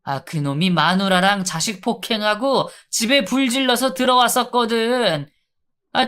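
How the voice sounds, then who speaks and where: A man's voice: extremely high pitch, sharp tone, voice pushed up, exaggerated voice. A man voicing a brash male inmate in withdrawal who taunts and then begs. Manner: taunting, then pleading and whining. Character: brash whiny male inmate in withdrawal, narrator's old school bully.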